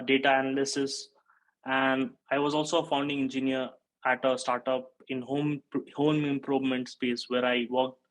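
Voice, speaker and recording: A man's voice: mid-range voice, poor audio. Man from Nepal giving a tech talk. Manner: steady, explanatory, frequent "uh" fillers. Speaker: conference speaker, software engineer. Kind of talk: recorded technical conference talk. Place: indoor home or office room. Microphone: webcam-style video call framing.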